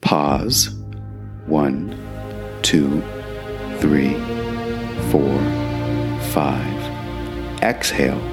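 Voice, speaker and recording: A man guiding a meditation in a calm, measured way, leaving long pauses between phrases. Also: very deep voice. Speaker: meditation guide. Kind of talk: guided meditation narration. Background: background music.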